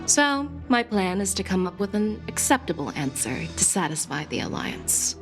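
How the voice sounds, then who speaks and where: Poised commanding general, lowish voice. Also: female voice, wildly swooping pitch, moderate pace, creaky, exaggerated voice. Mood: assured. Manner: assured, formal, articulate. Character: confident, candid female general, poised and commanding. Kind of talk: scripted video game dialogue. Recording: game music behind the voice.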